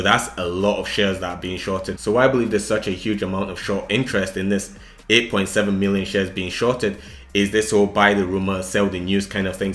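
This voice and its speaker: A man's voice: low-pitched, fairly bright tone. A man giving casual, chatty market commentary, explaining things informally and speaking straight to his viewers. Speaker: retail trader making stock analysis videos. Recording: roomy, echoing indoor sound.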